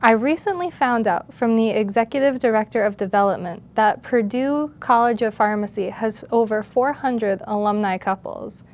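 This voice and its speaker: A woman's voice: fairly high pitch, normal speed, hardly any pauses. A woman hosting an interview, speaking politely in prepared introductions and questions.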